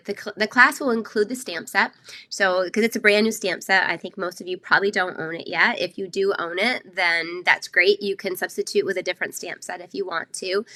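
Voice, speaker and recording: An older woman talks her viewers through a craft class from her home craft room, rambling with plenty of ums and asides. Her voice is bright and forward, with a slight nasal stuffiness left over from a cold. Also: mid-range voice. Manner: chatty, rambling with many fillers. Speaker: craft show host. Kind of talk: live-streamed craft class chat.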